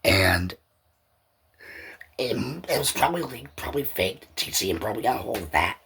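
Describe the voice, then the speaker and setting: A man's voice: on the low side, animated, moderate pace, bright, forceful tone, slightly rough. A man hosting a solo podcast, talking informally and off the cuff in a rambling, repetitive way. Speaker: podcast host. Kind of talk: unscripted solo podcast talk.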